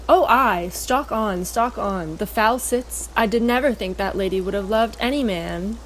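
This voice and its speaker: A woman's voice: mid-range voice, measured pace, slight background noise, natural voice. A woman acting a sly, teasing young lord in an amateur Shakespearean radio play. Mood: sly, conspiratorial.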